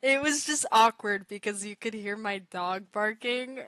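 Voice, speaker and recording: Young woman, game streamer, casual chat, giggly, unhurried, dry close sound. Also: medium pitch, fairly bright tone, no echo. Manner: chatty, giggly, self-conscious. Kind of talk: casual live gameplay chat.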